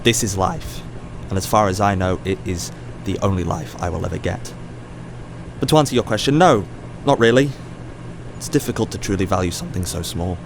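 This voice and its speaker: An actor playing a probing, inquisitive ethics professor in an audio drama, talking in a conversational, searching way. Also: male voice, low-pitched, fairly quick, noisy background. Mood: fascinated, thoughtful. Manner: conversational, probing, hesitant restarts.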